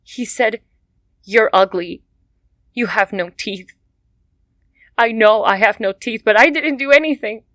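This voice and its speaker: Broke young mom pleading, kinda high, slow, radio drama, dry. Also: female voice, fairly bright tone, dry recording. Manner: pleading, tearful. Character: desperate poor young mother, pleading.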